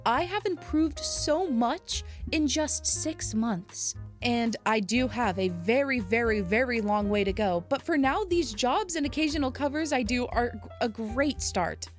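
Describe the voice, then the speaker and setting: A woman's voice: a little high, lively intonation, unhurried, hardly any pauses, faint music behind. A woman narrating a vlog in an enthusiastic, earnest way. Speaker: aspiring voice actor, content creator.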